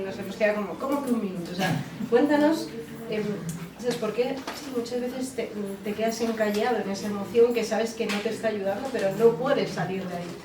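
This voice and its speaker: A woman moderating a book talk, asking engaged follow-up questions. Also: mid-range voice. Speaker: interviewer, event moderator. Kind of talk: conversational interview questions. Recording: roomy, distant mic with clear background noise.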